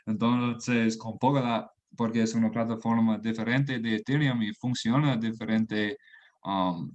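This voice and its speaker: Slow, halting man on a call. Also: mid-range voice. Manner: halting, restarts and searches for Spanish words; laughs between phrases. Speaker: guest. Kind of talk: casual chat mixing Spanish and English.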